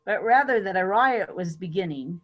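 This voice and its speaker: A woman's voice: medium pitch, animated, normal speed, dull audio. A woman giving a dramatic reading of scripture as a church reader.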